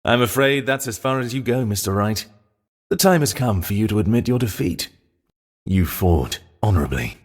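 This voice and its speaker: An actor playing a haughty, composed rival prosecutor in a video game drama, formal, pompous and declamatory. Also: male voice, fairly low pitch, expressive, moderate pace. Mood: smug.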